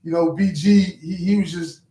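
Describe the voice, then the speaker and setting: A man's voice: high voice, steady tone, normal speed, mellow. A man from San Pedro, California, a rapper answering interview questions in a laid-back, hesitant way. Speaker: rapper guest. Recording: echoey room.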